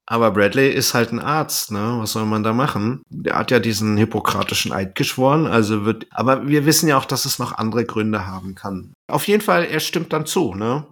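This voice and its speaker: A man's voice: lowish voice, a little fast, hardly any pauses. A man co-hosting a podcast, chatting casually about an audio drama and often tagging his sentences with a questioning "ne?". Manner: chatty, relaxed, tags sentences with "ne?". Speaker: podcast co-host.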